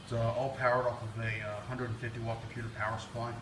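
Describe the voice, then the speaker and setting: A man's voice: mid-range voice, level tone, fast. A man giving a casual, off-the-cuff equipment walkthrough in an informal, explanatory way, recorded indoors on a handheld camera. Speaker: video presenter, DJ and PA technician. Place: indoors, brick-walled workshop building. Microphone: handheld camera or phone, speaker off screen. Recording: noisy handheld camera audio with room ambience.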